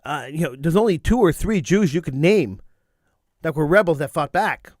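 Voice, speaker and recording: A middle-aged man with a fairly high voice delivers a fervent talk radio monologue. He hammers his points by repeating phrases, and a slight cold wears on his voice. The studio microphone sound is dry and close. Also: animated, normal speed, no echo. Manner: emphatic, repeats phrases for stress. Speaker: radio talk show host.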